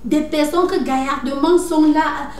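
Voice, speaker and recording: A fairly high-voiced woman lectures her viewers, breaking off and starting over, tossing in "right?" to check they follow. She sits a bit back from the mic in an echoey room, with faint noise underneath. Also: fairly even intonation, a little slow, distant mic. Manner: lecturing, repeats "n'est-ce pas", many restarts. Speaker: video commentator. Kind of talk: casual monologue to viewers.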